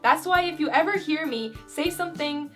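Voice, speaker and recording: A woman's voice: high voice, normal speed, a bit bright, faint music behind. A young woman from Finland chatting casually to camera as a vlogger, filling her talk with "you know" and "like". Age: young adult.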